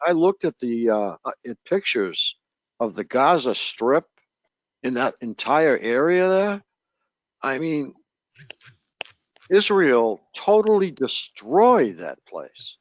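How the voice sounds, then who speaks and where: A man explaining things slowly, with pauses, during a casual group discussion. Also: medium pitch, very animated, dry recording. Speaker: open mic participant. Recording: dry, dull lo-fi recording.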